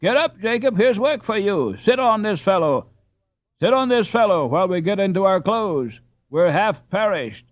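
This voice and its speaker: Man voicing an excited high-pitched Dutch boy. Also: moderate pace, dry recording, dull audio. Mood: teasing, bossy. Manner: excited, exclamatory. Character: excited Dutch boys, eager, mocking young comrades.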